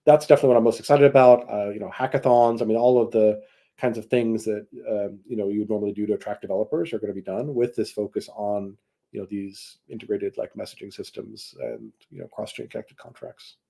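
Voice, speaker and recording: A man giving casual, explanatory interview answers as a tech executive, speaking in a halting, rambling way on a video call. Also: fairly low pitch, vocal fry, mellow. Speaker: tech company chief executive, guest.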